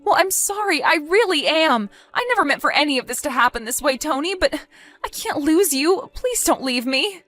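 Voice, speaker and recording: A woman's voice: sits high, animated, moderate pace, a bit bright, faint music behind. A woman playing a desperate, defensive cheating wife in an acted audio drama, pleading and evasive. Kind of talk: acted audio story dialogue.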